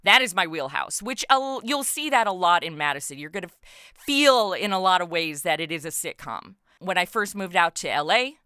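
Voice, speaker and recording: A middle-aged American woman speaks as a podcast guest, casual and animated. Her voice is bright and clear, recorded close and dry, and she talks informally with plenty of conversational filler. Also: lowish voice, a little fast, no room sound. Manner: animated, informal, peppered with like and you know. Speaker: interview guest, comedy podcast writer and voice actor. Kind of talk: casual interview chat about own creative work.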